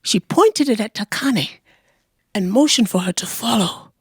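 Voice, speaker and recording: A woman storyteller narrating a folktale in a deliberate, expressive way, with dramatic pauses. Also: mid-range voice, husky, dry recording, clean studio sound.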